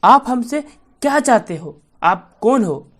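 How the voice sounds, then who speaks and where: A woman's voice: fairly high pitch, big pitch swings, slow, full character voice. A woman voicing a worried village priest's wife who questions and pleads humbly in a children's story. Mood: anxious questioning.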